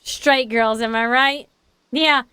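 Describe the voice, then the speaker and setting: Expressive woman streamer with a clear high voice. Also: takes it slowly, dry recording. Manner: expressive, chatty. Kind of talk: live game commentary and dramatic read-aloud of a visual novel.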